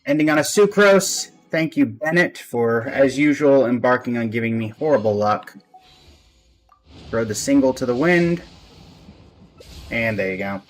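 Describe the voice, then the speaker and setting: A man's voice: mid-range voice, expressive, a little slow, pauses often. A man giving casual live-stream game commentary as the host, with a dry, resigned way of talking. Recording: music playing behind the voice. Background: background music.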